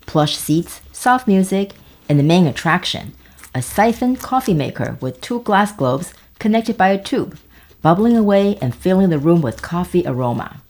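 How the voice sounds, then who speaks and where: A woman's voice: lowish voice, big pitch swings, moderate pace, a little mellow. A woman in her fifties from Taiwan, narrating a scripted personal video essay with wry asides. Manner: wry, conversational, with asides and jokes.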